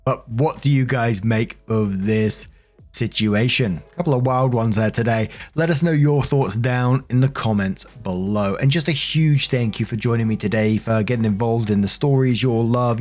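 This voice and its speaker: A man's voice: on the low side, a little mellow. Male story narrator over background music. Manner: informal, direct address to listeners. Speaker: narrator and host of online story videos. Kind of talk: conversational narration of online relationship posts with commentary. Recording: music behind the voice.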